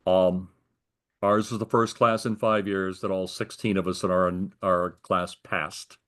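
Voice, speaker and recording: A man's voice: deep voice, level tone, measured pace, a bit bright. An older American man in a conversational interview, explaining his working life in careful detail. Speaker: retired air traffic controller being interviewed.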